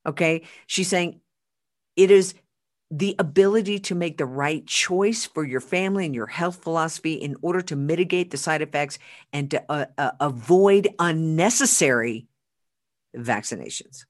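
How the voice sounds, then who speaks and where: A podcast host speaking emphatically in casual chat, often repeating words and slowing down for quoted lines. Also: medium pitch.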